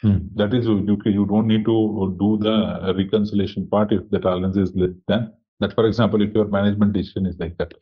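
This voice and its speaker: A man working as a software trainer, walking through a live demo step by step, thinking aloud and asking himself questions. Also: fairly low pitch, little pitch movement, a little fast, few pauses, breathy edge, highs cut off.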